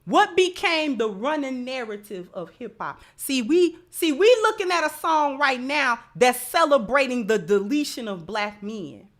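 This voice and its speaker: A woman's voice: high-pitched, expressive, a little slow, hardly any pauses, fairly bright tone. An American woman hosting a live commentary show, speaking emphatically and repeating phrases to drive them home. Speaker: show host. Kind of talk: live commentary and sponsor read.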